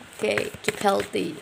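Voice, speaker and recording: A woman's voice: mid-range voice, creaky, heavy background noise. A woman with a stuffy, nasal voice from a cold, doing a casual tarot reading, thinking aloud and tossing in English words. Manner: musing, thinking aloud with frequent fillers. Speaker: tarot reader.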